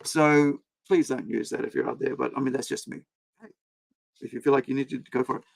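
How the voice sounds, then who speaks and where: Rambling man with a gravelly voice. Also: medium pitch, steady tone, a little fast. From India. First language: Hindi. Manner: rambling, talks to chat, many fillers and restarts. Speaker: comic creator and streamer. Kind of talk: rambling live-stream chat. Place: home room with several screens.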